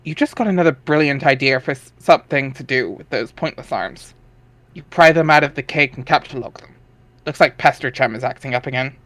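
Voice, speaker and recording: A man's voice: medium pitch, brisk, a bit bright, dry recording. A man reading scripted second-person narration for a webcomic dramatic reading.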